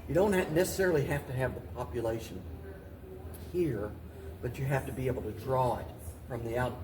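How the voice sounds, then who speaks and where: An older man giving reflective interview answers as a mayor, haltingly, often repeating and restarting his phrases. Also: mid-range voice, background noise. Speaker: mayor, talk show guest. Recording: roomy, distant mic, voices in background.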